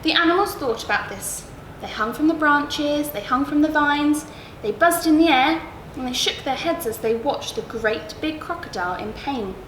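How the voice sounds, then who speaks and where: A high-pitched woman reads a bedtime story to children. Her delivery is deliberate and dramatic, leaning on key words. The room is echoey, with noise in the background. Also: expressive, a bit bright. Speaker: story reader at a school. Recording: roomy echo, clear background noise.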